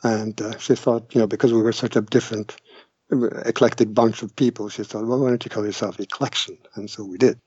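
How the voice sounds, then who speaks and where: An older man from Norway recalls his past in a relaxed interview, pausing often with fillers and a wry tone. His voice sits a little low. The sound is dull and dry, like a remote line. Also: slightly rough, dry recording. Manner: hesitant, many fillers, wry. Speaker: guest musician. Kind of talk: casual interview storytelling.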